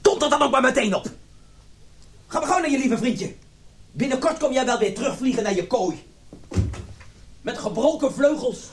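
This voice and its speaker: Jealous middle-aged husband, swinging pitch. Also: male voice, animated, moderate pace, long pauses, background noise. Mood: bitter, scornful. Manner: agitated, stammering when upset. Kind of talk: radio drama acting.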